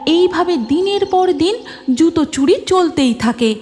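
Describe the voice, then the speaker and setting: A woman's voice: very high voice, expressive, a little slow, a little mellow, a little hiss or hum. A woman narrating a cartoon story from a script.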